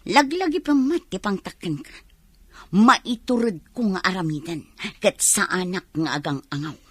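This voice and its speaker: A woman's voice: mid-range voice, animated, normal speed, fairly bright tone, husky, dry recording. An actress playing a haughty, scheming younger woman in an Ilocano radio drama, speaking curtly and imperiously. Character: haughty, scheming younger woman, cruel village soap villainess.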